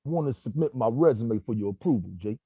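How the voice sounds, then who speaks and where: An actor at a table read playing a slick street hustler pitching himself as a bodyguard, in a smooth salesman patter. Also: animated, a little fast, dry recording. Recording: dull, dry lo-fi audio.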